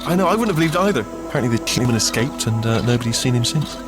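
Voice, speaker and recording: An actor with an English West Country accent playing a chatty, bumbling, panicky robot sidekick in a game, rambling and stammering. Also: male voice, mid-range voice, expressive, very fast, music in the background, natural voice. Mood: gossipy. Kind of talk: comedic improvised-sounding game acting.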